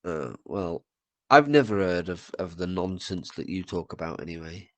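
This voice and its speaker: A man's voice: low-pitched, lively intonation, normal speed, a bit bright, no echo. Rambling man with a cold. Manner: rambling, swears, stumbles and repeats words. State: has a cold. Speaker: podcast co-host. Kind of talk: casual chat.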